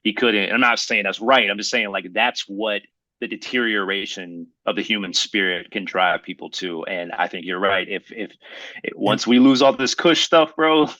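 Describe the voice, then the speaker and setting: An American man in casual podcast conversation, animated and slangy, punctuating his points like he is talking to a buddy. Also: lowish voice, moderate pace, dry recording, low-quality recording. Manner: animated slangy talk, often saying "bro". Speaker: podcast co-host.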